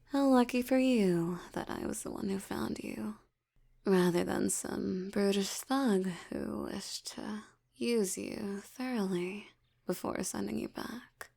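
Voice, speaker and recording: Slow, creaky, haughty vampire woman, acted by a woman. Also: medium pitch, mellow. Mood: predatory, seductive. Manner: teasing, condescending, slow deliberate delivery. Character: dominant wealthy female vampire, haughty and predatory. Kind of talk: intimate audio roleplay, ASMR-like.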